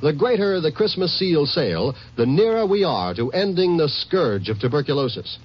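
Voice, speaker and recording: A man reads an earnest 1950s radio appeal with formal, emphatic delivery. His voice is husky and a little high, rising and falling in an animated way. The broadcast sounds dull and old. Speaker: announcer of a charity appeal. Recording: muffled vintage radio broadcast.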